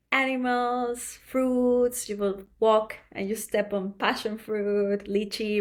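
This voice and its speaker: A woman's voice: medium pitch, fairly bright tone. A woman from Ecuador, a company co-founder talking earnestly as a guest in a casual interview, stretching some words as she speaks.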